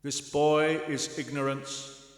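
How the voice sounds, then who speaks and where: A man's voice: on the high side, flat delivery, slow pace. A man playing a solemn, accusing Christmas spirit, grave and declamatory, in a radio play. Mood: grave warning. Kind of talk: stage radio play acting. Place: live performance in a church. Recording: reverberant live church performance.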